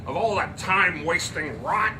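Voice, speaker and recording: A man's voice: high voice, lively intonation, normal speed, far from the mic, background noise, pitched above the performer's own voice. A man voicing a grumpy, dismissive middle-aged businessman father, blustering and indignant in an old-fashioned way. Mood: disgusted.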